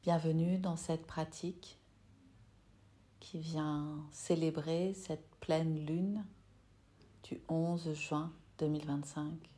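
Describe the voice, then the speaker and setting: Woman, lowish pitch, dark relaxed voice, soft delivery, unhurried, soothing meditation guide. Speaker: yoga nidra teacher.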